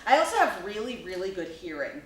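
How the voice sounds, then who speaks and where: A woman's voice: medium pitch, big pitch swings, brisk, a crowd in the background, lightly acted. A woman playing a blunt, chatty know-it-all radio performer in a staged reading. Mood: cheerful, innocent. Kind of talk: stage acting. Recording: very echoey hall, distant mic, faint noise.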